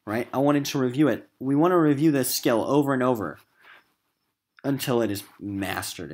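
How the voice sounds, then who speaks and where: A man with an American accent teaching a recorded literature lesson in an emphatic, informal classroom style. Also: mid-range voice.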